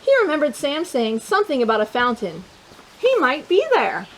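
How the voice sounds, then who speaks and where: High-voiced woman reading a storybook. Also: moderate pace, a little hiss or hum, low-quality recording. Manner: animated and playful, with chatty asides to young listeners. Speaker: children's storytime host. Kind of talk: reading a picture book aloud to children.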